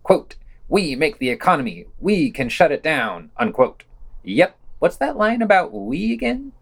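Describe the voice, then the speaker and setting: A man's voice: a little high, sing-song, measured pace, gravelly, husky. A man co-hosting a podcast, reading scripted news summaries and quotes with wry, sardonic asides. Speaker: podcast host.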